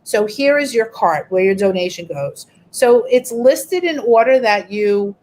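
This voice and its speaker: A woman's voice: mid-range voice, animated, unhurried, a little mellow. A middle-aged woman teacher, walking a group through an online workshop in a chatty, explanatory way. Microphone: video call.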